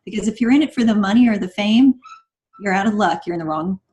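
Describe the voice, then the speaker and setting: A woman's voice: medium pitch, quick pace, a little mellow. A woman speaking as a podcast guest, giving conversational advice in an earnest, emphatic and encouraging way. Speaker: guest writer.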